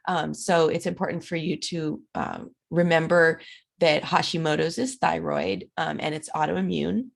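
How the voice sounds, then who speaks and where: A middle-aged woman teaches from her slides in an easy, conversational way, pausing on plenty of fillers. Her voice sits on the low side and is raspy and gravelly, dry from a recent illness. It sounds like a webcam recording made at home. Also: steady tone. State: recovering from illness. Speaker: instructor. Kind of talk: recorded lecture over slides.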